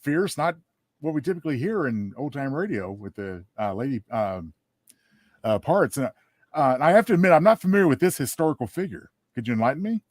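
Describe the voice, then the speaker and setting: A man's voice: medium pitch, sing-song, fairly quick, dry recording. A man co-hosting a podcast in casual, wisecracking chat, recorded close to the microphone. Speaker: podcast co-host. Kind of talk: casual chat commentary on a radio play.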